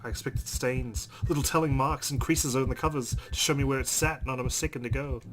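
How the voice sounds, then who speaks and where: A man's voice: medium pitch, steady tone, a little fast, natural voice. A man reading aloud the part of a shaken, exhausted young woman driver in a horror visual novel, narrating dramatically. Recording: noisy home recording.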